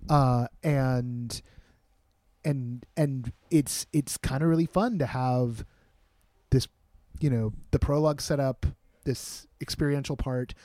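A man's voice: mid-range voice, slow, dark tone, no room sound. A man hosting a casual interview, upbeat and prompting his guests with questions. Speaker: podcast host. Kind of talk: casual chat. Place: dark outdoor hollow at a theater venue. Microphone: shared handheld mic.

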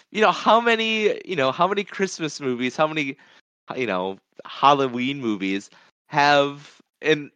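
A man's voice: medium pitch, fairly bright tone, no room sound. A man co-hosting a podcast in casual conversation, talking in a rambling way and repeating phrases as he goes. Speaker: podcast co-host. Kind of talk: casual movie podcast chat.

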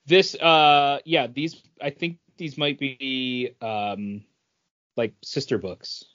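A man's voice: medium pitch, steady tone, takes it slowly, a bit bright. Male podcast co-host, mid-range voice, casual conversational delivery, slightly lo-fi audio. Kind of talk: casual comic book chat.

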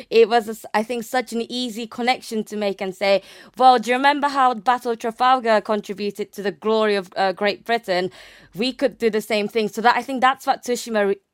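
A woman's voice: medium pitch, clear, resonant tone, no room sound. Young woman from Japan, academic interviewee, clean resonant voice, enthusiastic, hedging, dry close recording. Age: young adult. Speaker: academic guest, naval history researcher. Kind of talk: conversational interview explanation.

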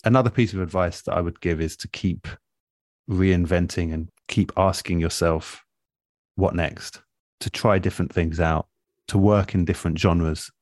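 Man, very low pitch, measured, reflective, pausing mid-phrase, conversational, dry recording. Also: steady tone, a little mellow, dry recording. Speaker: radio producer being interviewed. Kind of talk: relaxed conversational interview, reflective advice.

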